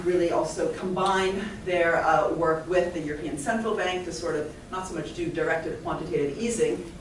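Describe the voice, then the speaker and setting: A middle-aged woman from Italy with an American accent, giving an animated, fluent talk as a panel speaker, with frequent "you know" fillers. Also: deep voice, slight background noise. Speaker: economics professor, panel speaker. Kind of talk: public talk at a panel event. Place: large echoey event hall. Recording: echoey hall from far off.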